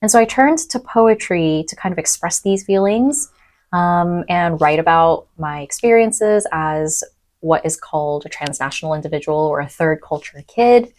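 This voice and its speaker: A young adult woman lecturer who grew up in East Africa, speaking thoughtfully in a podcast interview with frequent pauses and "um"s. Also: fairly low pitch, lively intonation. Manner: thoughtful, soft-spoken, many "um"s. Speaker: university lecturer.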